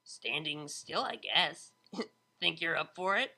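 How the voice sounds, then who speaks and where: Narrator as a cheeky young DJ mare for an audiobook: slangy, teasing, bright. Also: mid-range voice, expressive, slow pace, gravelly, heavily acted. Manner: casual, slangy, hesitant joking.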